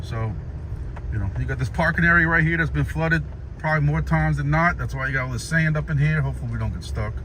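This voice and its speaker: A man's voice: on the high side, quick pace, low-quality recording. A man vlogging casually while driving, rambling along with restarts and fillers. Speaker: vlogger and ham radio operator. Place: inside a moving car. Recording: loud road and car noise behind.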